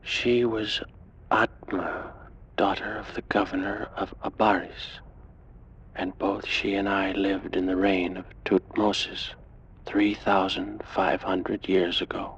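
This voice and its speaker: An actor playing an ancient Egyptian priest, lovelorn and menacing, speaking slowly and solemnly in an old-time radio drama. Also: male voice, sits low, level tone, fairly bright tone, breathy edge, full character voice. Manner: slow, solemn, murmuring delivery. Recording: muffled old radio recording with music.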